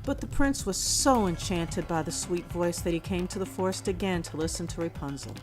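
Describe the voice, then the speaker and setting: A woman reading a scripted children's fairy tale as a measured storyteller. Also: fairly low pitch, poor audio. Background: music.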